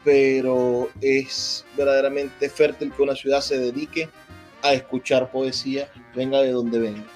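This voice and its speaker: A man speaking off the cuff at a public ceremony, deliberately, pausing to search for his words. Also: mid-range voice, level tone, faint music behind. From Venezuela. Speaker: festival organizer giving a speech. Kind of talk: extemporaneous ceremonial speech. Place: theatre stage at a public event.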